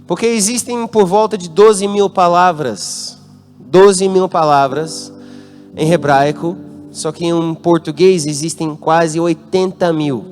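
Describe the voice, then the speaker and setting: An American man preaches with a voice that sits a touch high for a man. He asks the congregation to call out answers and speaks with an American accent. Soft instrumental music plays faintly underneath. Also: slow pace. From United States. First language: English. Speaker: guest pastor. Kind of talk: sermon. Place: church hall.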